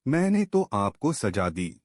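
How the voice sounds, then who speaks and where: A man's voice: fairly low pitch, sing-song, takes it slowly, dry recording, studio quality. A man voicing a hot-tempered adult male king in a storybook tale, speaking in a commanding way. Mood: humbled.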